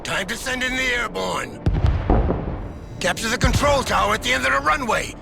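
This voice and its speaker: An actor playing an authoritative army general giving firm orders in a military strategy game. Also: male voice, extremely high pitch, normal speed, vocal fry, full character voice. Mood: commanding. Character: commanding US army general directing an airbase assault. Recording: loud music over the voice.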